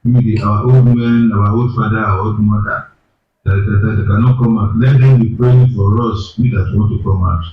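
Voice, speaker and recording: Low-pitched male caller, Yoruba first language, emphatic and repetitive, muffled echoey distant line. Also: fairly even intonation, moderate pace, soft background music. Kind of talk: impassioned political call-in.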